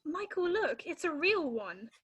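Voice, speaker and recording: A woman's voice: very high voice, very animated, a little slow, a bit bright, dull audio, heavily acted. A woman playing an anxious, earnest young girl in an amateur musical read-through, with a childlike manner. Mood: encouraging.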